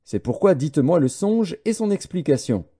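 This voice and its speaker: Audiobook scripture: male narrator as troubled king, dark mellow tone, animated, commanding and threatening. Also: a little high, normal speed, slightly rough, pitched above the performer's own voice. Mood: stern, threatening. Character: troubled, suspicious king issuing harsh threats. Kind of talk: dramatised scripture reading.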